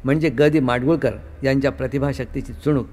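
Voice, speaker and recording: Male radio presenter, medium pitch, formal literary delivery for introducing songs. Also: steady tone, fast talker, a little mellow, slight background noise. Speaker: radio show presenter and music composer. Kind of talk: scripted radio music-show narration.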